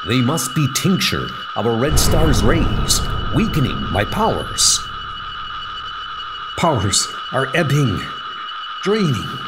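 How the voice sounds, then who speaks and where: A mighty superhero , acted out by a man, strains through his own ordeal in audio comic fashion. His delivery is dramatic and resolute, with a very mobile mid-range pitch and slightly unhurried phrasing, over clear music. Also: heavily acted. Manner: dramatic, effortful self-narration. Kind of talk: audio comic book acting.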